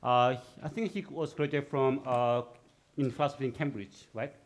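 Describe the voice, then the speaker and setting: A man's voice: mid-range voice, fairly even intonation, moderate pace. A man moderating a seminar who introduces the next speaker hesitantly, with many fillers.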